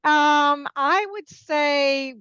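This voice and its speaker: A woman with a fairly high voice speaks as an interview guest, explaining things with emphasis and checking in as she goes. The sound is dry and a little dull, as in a lo-fi podcast recording. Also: extremely slow, bright, forceful tone, no room sound, soft background music. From Peru and Mexico. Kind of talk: conversational interview commentary.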